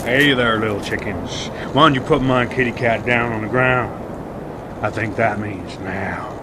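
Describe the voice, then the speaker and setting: A man's voice: lowish voice, a little slow, close to an everyday voice. An actor playing a menacing rural gunman in a radio drama, with a folksy, taunting drawl. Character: menacing rural gunman, chuckling, living with his Ma. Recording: very noisy radio drama soundscape.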